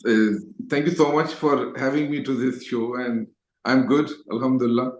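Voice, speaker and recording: Echoey room sound, middle-aged man, Pakistani accent, medium pitch, conversational interview guest. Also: fairly even intonation, moderate pace. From Lahore, Pakistan. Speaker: interview guest, Toastmaster educator. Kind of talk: conversational personal storytelling.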